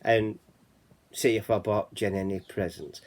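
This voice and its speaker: A man's voice: fairly low pitch, fairly even intonation, unhurried, a little mellow. A man with a Yorkshire accent hosting a casual chat channel, reading out reports and rambling, often saying "you know what I mean". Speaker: channel host.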